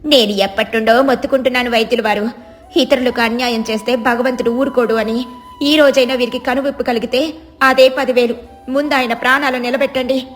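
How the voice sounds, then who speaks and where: A woman's voice: fairly high pitch, fairly even intonation, fairly quick, soft background music, lightly acted. A woman voicing a devout, righteous village wife who admonishes earnestly in a moral story. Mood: pleading, reproachful.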